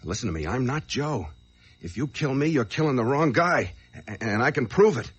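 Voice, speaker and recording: An actor playing a nervous, evasive truck driver in an old-time radio drama, pleading in shifty, slangy talk. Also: male voice, medium pitch, expressive, brisk, slightly rough. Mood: desperate, pleading. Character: nervous, evasive truck driver cornered by a gun, narrating his tale.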